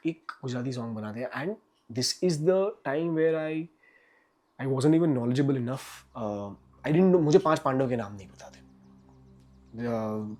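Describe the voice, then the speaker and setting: A man's voice: mid-range voice, a little mellow. A man from India with an Indian accent, Gujarati his first language, telling his story in a reflective interview and restarting his sentences often. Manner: mixes Hindi and English, restarts sentences often. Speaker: composer and documentary subject. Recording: music bed under the voice.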